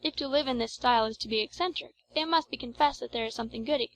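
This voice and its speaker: Higher-pitched woman narrating a classic novel. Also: quick pace. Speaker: audiobook narrator. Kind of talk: scripted literary narration.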